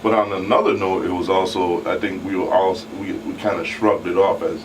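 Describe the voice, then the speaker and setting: A man's voice: low-pitched, steady tone, brisk. A man talking casually and reflectively on a talk show, slipping into colloquial imagined quotes. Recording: distant mic, clear background noise.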